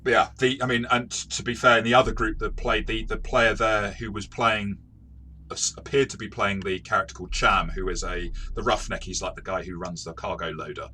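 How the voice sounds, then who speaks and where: A man's voice: lowish voice, fairly even intonation, a little fast, bright, forceful tone, slight background noise. A man talking through a tabletop game review as its game master, explaining in a conversational, self-correcting way.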